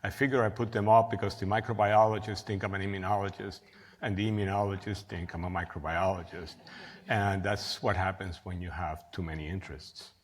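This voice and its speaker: A middle-aged man from Cuba with a Cuban Spanish accent, giving an academic research talk with lively, self-deprecating storytelling. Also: sits low, fairly quick. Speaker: guest lecturer. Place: lecture hall. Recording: reverberant lecture hall.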